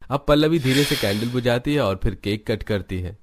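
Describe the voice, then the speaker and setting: A man reading scripted narration for an animated family story. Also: mid-range voice, normal speed, noisy background.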